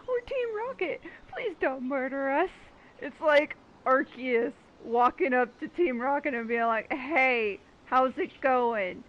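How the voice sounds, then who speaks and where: A woman's voice: sits high, animated, slow, muffled recording. A woman streamer giving casual, playful commentary on a video game, joking and acting out mock dialogue. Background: game music.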